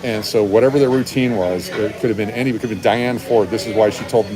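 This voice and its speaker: A man's voice: on the low side, steady tone, quick pace, music in the background, poor audio. A middle-aged man chatting casually and jokily as a live-stream host, telling comedy anecdotes. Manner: joking. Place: restaurant interior. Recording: busy venue with crowd and background bustle.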